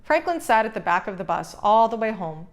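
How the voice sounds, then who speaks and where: Expressive woman storyteller, animated pitch. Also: fairly quick. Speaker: children's read-aloud storyteller. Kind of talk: scripted storybook narration.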